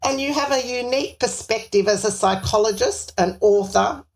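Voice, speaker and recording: A woman's voice: fairly high pitch, normal speed. A woman hosting an interview podcast, reading a scripted guest introduction and asking questions in an upbeat, formal way. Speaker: podcast host.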